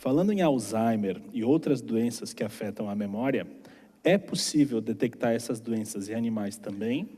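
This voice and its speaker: A man's voice: mid-range voice, animated, normal speed, mellow. A man moderating a lecture, reading audience questions aloud and adding brief affirmations. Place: theater auditorium. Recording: long hall reverb in theater.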